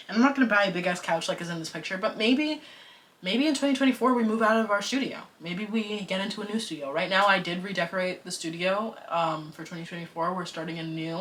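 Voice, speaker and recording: Young woman, bright clear tone, casual slangy vlog chatter. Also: lowish voice. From United States. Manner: chatty, animated, slangy and self-joking. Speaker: vlogger and podcaster.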